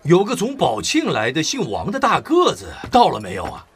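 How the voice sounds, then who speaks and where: Dramatically swooping weary wartime official. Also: male voice, on the high side, huge pitch swings, normal speed, a little hiss or hum. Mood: courteous. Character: weary, stoic male wartime official scarred by torture. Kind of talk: Mandarin audio drama acting.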